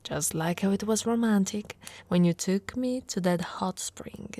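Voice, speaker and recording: A woman's voice: medium pitch, unhurried, warm tone, no echo. A woman from Italy voicing an affectionate, playfully boastful orc warrior wife in an intimate, teasing ASMR roleplay, often dropping to a whisper. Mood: tender, nostalgic.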